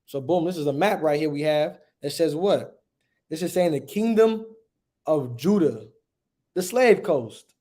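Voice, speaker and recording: A man's voice: animated, a little slow, warm tone. Emphatic preachy man teaching a class. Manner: emphatic and preachy, asks "right?" often and says "boom". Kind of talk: livestreamed religious history class, reading aloud and preaching.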